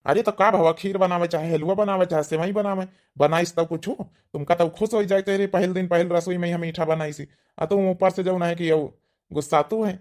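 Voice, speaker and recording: A man's voice: high-pitched, steady tone, a little fast, darkish tone, lightly acted. A man voicing a grumbling old village husband in an Awadhi comedy cartoon, plain-spoken and remonstrating. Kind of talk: rustic comedy cartoon dialogue.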